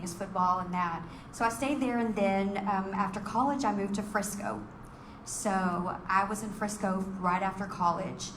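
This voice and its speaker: A woman's voice: mid-range voice, echoey room, background noise. A woman from Texas with a Texan accent, giving a chatty, personal speech as a guest speaker at a meeting.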